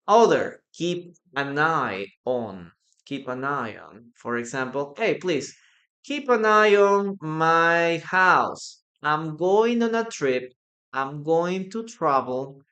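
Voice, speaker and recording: Slow, encouraging English teacher. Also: mid-range voice, a bit bright. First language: Spanish. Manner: encouraging, repeats phrases; slow, careful delivery. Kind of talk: live online class.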